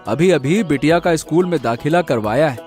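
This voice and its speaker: Man acting high-voiced, doting village father. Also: expressive, a little fast, a little mellow, soft background music, close to an everyday voice. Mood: gentle, dutiful. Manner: easygoing, affectionate. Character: kind, doting village husband and young father. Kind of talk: Hindi cartoon moral story acting.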